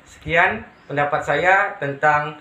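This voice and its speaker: Young man, echoey and bright. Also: on the high side, takes it slowly. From West Kalimantan, Indonesia. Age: young adult. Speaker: university student interviewee. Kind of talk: prepared opinion statement. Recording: echoey room sound.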